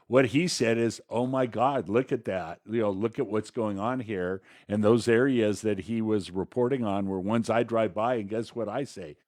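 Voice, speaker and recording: A man with a somewhat low voice offers casual commentary as a podcast co-host. His delivery is informal and a bit halting, and the sound is dry with very little room in it. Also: a little mellow, dry recording.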